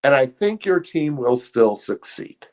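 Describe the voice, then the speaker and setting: A man co-hosting a casual sports podcast over a remote call, laid-back and halting, with many pauses and fillers. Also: medium pitch, sing-song. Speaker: podcast co-host.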